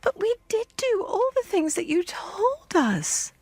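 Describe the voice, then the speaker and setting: A woman voicing young children who plead eagerly and desperately, in a high breathless voice. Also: animated, fairly quick, darkish tone, dry recording. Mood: despairing.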